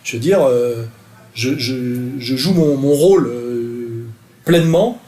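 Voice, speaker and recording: A man's voice: mid-range voice, slow, dark tone. A man speaking casually, loose and full of fillers, often repeating the same catchphrase. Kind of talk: casual speech to camera.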